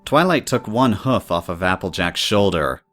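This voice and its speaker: A man's voice: deep voice, lively intonation, normal speed, a bit bright, faint music behind. A man reading scripted story narration in the third person.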